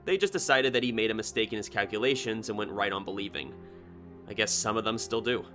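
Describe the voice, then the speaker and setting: A man voicing a gruff, weary, sceptical American engineer in a story, with a dry, grumbling manner. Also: lowish voice, quick pace, a bit bright, soft background music. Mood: resigned. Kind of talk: short story character dialogue.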